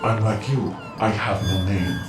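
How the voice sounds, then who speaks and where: An actor playing a nameless, secretive messenger in a video game, speaking calmly and cryptically. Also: male voice, on the low side, natural voice. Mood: cryptic, calm. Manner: cryptic, deliberate. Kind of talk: dramatic video game acting. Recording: echoey, loud music behind.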